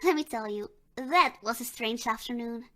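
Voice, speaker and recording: Casting: young female android idol for a game, acted by a woman; very high, perky, cute, theatrical. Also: normal speed, fairly bright tone, natural voice. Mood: chatty, teasing. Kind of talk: video game character dialogue.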